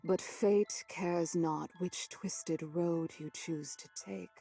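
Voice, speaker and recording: Slow, dark, husky prophetic narrator. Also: female voice, lowish voice, steady tone, soft background music, exaggerated voice. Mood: solemn, foreboding. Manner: grave, archaic, theatrical. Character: solemn, ominous poetic narrator of cruel fate. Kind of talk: recited verse, visual novel prologue.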